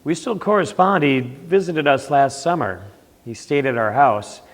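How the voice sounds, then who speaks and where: An older veteran with a Norwegian-tinged accent, speaking earnestly with some hesitation. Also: male voice, fairly high pitch, measured pace. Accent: Norwegian-tinged Upper Midwest. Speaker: veteran panelist. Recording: reverberant community TV studio.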